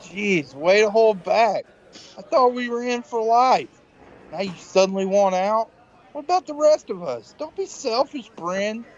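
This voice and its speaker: Casting: self-regarding young co-star played by a man, fairly high voice, petulant, audio comedy, slightly muffled. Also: animated, a little slow. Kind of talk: comedic audio play acting.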